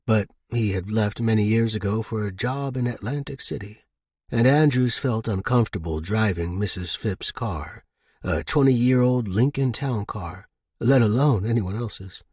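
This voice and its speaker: Husky male story narrator, dry sound. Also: fairly low pitch, unhurried, darkish tone, no echo. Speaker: audiobook narrator.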